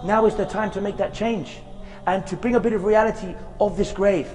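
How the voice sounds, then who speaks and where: A man with a high voice delivers an earnest, unhurried sermon outdoors in a graveyard. His words trail into a long reverb, and faint music plays underneath. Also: steady tone, darkish tone. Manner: earnest, slow, with Arabic recitation chanted. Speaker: preacher giving a short reminder.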